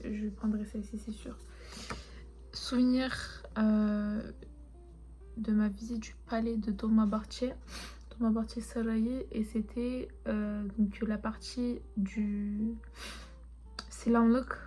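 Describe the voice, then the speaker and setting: A young woman who grew up speaking Turkish, chatting casually and rambling softly, often half-whispering, as she shows her souvenirs. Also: fairly high pitch, little pitch movement, takes it slowly, pauses often. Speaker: vlogger. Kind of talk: casual chat showing souvenirs.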